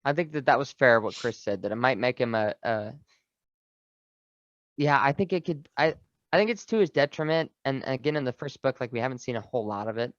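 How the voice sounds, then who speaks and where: A man's voice: mid-range voice, lively intonation, brisk, no echo. A man co-hosting a podcast in a casual book chat, on slightly lo-fi audio. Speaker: podcast co-host.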